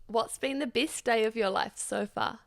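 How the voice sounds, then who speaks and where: A New woman in her thirties chats as a podcast co-host, her slightly hoarse voice gravelly with fry. Her delivery is giggly and loose, with plenty of "like"s. The sound is close and dry, with no room behind her. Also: fairly high pitch, normal speed, dry recording. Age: 30s. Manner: chatty, giggly, many "like"s. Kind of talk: casual chat.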